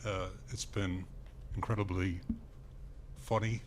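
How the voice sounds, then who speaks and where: A man in his sixties from Dublin, putting a question to a panel in a measured, halting, droll way. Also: low-pitched, fairly even intonation, slight background noise. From Dublin, Ireland. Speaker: audience member asking a question. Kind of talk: jocular live question to a panel.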